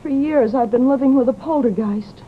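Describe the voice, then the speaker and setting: A woman's voice: high-pitched, normal speed, close to an everyday voice. An actress playing a troubled, genteel young lady in old-time radio drama, speaking in a polite, earnest, imploring way. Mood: distressed. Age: young adult. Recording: muffled, noisy old radio recording.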